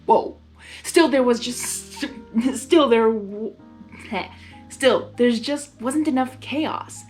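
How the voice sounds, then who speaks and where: A woman's voice: on the high side, drawn-out words, darkish tone, soft background music. A woman reading fan fiction aloud informally, unrehearsed, with stumbles and amused asides. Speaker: fan fiction reader and channel host. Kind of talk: informal storytelling read-aloud.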